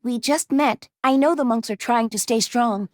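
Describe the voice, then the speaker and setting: Game role: little female robot sidekick played by a woman, high-pitched, earnest, unsure of herself, formal exact diction, dry studio sound. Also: normal speed, dry recording, natural voice. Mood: earnest, gentle. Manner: formal, precise diction without contractions. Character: small earnest, self-doubting female robot companion. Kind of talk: video game character dialogue.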